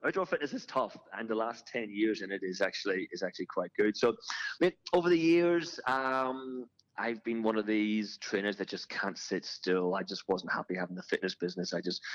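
A middle-aged man from Ireland with an Irish accent, talking as a guest in a relaxed, chatty way, often restarting his sentences. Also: lowish voice, dull audio. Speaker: guest, fitness business founder. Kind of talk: conversational interview.

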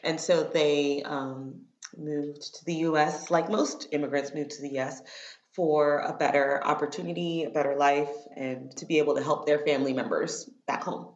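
A woman's voice: deep voice, steady tone, moderate pace. A woman in her thirties from Miami, speaking casually and reflectively as an interview guest. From Miami, Florida, United States. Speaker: interview guest, corporate lawyer. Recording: roomy, dull lo-fi sound.

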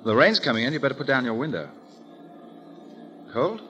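An actor playing a cheerful, naive young gentleman in a 1930s radio comedy, eager and polite. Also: medium pitch, very animated, a little fast, highs cut off. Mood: concerned, brisk.

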